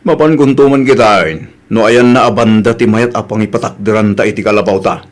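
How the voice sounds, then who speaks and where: A man's voice: lowish voice, fairly quick, lightly acted. A man playing a humble, polite husband in an audio drama, deferential and easygoing, with an Ilocano accent. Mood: easygoing, pleased.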